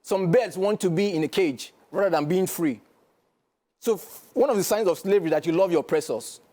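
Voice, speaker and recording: Nigerian male guest, high-pitched, mellow tone, rapid and preachy delivery. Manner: emphatic, lecturing, keeps asking "do you understand?". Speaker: guest commentator. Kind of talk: studio interview.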